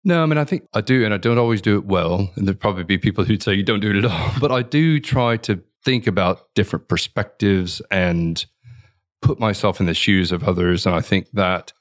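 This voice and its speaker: A man with a very deep voice talks things through slowly and thoughtfully, circling back and restarting his sentences as he goes. He sounds like a guest on a conversational podcast, telling stories about his work. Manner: reflective, rambling with frequent restarts and fillers. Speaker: interview guest, consultant.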